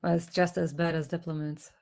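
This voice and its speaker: A woman's voice: deep voice, little pitch movement, brisk, gravelly, a little mellow. A woman hosting an interview show, asking short, friendly questions. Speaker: radio show host.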